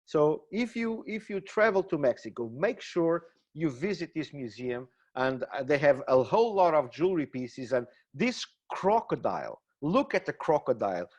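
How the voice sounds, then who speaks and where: A man's voice: on the high side, very animated, moderate pace, hardly any pauses, a little mellow. A man with a Portuguese accent giving a webinar lecture, talking with enthusiasm and frequent exclamations. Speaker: gemmology lecturer. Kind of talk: webinar presentation. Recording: dull lo-fi webinar audio.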